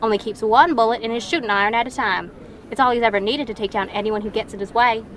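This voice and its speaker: Folksy high-voiced Wild West townswoman woman acting. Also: a bit bright, slight background noise, close to an everyday voice. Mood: gossipy. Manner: folksy, drawn-out idioms. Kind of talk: western audio drama acting.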